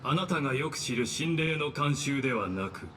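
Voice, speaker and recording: Actor as a quick, formal masked trickster man. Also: mid-range voice, expressive, a bit bright, slight background noise, lightly acted. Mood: suave, theatrical. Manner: polite, theatrical self-introduction. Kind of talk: Japanese anime game voice acting.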